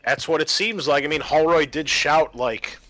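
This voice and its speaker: A man's voice: fairly high pitch, normal speed, pushed and bright, dry recording. A man acting as game master, running a tabletop roleplaying session for players.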